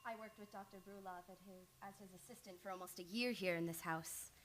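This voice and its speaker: A woman's voice: medium pitch, fairly even intonation, fairly quick, slight background noise, natural voice. A woman playing an earnest, devoted young psychoanalyst in a live old-time radio play. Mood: eager, affectionate.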